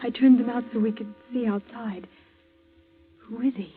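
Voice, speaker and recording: An actress playing a frightened but determined teenage daughter in an urgent, dramatic 1940s radio style. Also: female voice, a little high, fairly even intonation, normal speed, faint music behind, lightly acted. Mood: fearful, demanding. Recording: tinny narrow-band old radio recording.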